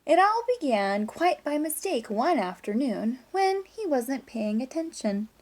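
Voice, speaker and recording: A woman teacher doing an animated read-aloud of a children's story, with chatty asides and questions to young listeners. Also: sits high, unhurried. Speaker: teacher reading aloud to class.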